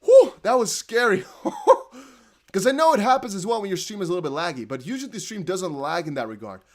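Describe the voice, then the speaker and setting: Excitable Dutch-accented man streaming. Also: high voice, fairly quick. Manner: excitable, reads chat aloud, bursts into whoops. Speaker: crypto livestream host. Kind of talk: casual livestream chat.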